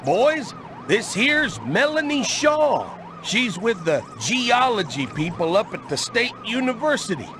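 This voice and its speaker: An actor playing a kindly but firm old country uncle with a Southern US accent in a video game. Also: male voice, extremely high pitch, sing-song, unhurried, noisy background, exaggerated voice. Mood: welcoming. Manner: folksy, firm. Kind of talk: game cutscene acting.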